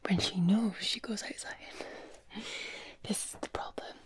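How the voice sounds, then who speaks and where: A Scottish woman whispers softly through a soothing ASMR role-play. She talks in a gentle, chatty way and takes her time over every phrase. Her voice is breathy and unhurried, at a middle pitch for a woman. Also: steady tone. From Scotland. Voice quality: soft whisper. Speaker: ASMR creator.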